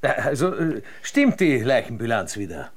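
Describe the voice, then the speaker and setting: A flustered, earnest investigator from a comic radio play. His voice sits at a middling pitch, and he feels his way hesitantly through his sentences. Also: big pitch swings, moderate pace, husky. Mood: puzzled. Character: flustered, hesitant man investigating a murder case. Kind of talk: comic radio drama acting.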